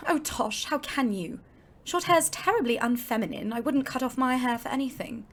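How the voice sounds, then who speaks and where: A woman's voice: high-pitched, animated, moderate pace. An actress playing a prim, vain, easily shocked young woman in a period audio play. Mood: prim dismay. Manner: prissy, aghast. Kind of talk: period audio drama acting.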